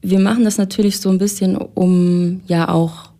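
A young woman from Erfurt speaks with a low, mellow voice in a radio studio. She is a musician giving an interview, talking in a relaxed, unhurried way with frequent fillers and small restarts. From Erfurt, Germany. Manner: relaxed, hesitant with many fillers. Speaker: musician guest.

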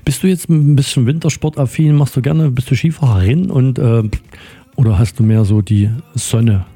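Very dark-voiced, creaky male radio host. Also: mid-range voice, moderate pace. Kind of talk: casual radio interview chat.

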